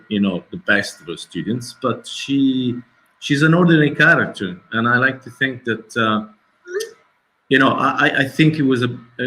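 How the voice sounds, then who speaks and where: A middle-aged man speaks over a video call in a lowish, bright voice. He halts often, restarting phrases as he hunts for words in English. A faint room hum sits behind him. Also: poor audio. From Italy. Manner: hesitant, searching for words, many restarts. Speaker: documentary filmmaker. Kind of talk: festival Q&A answer.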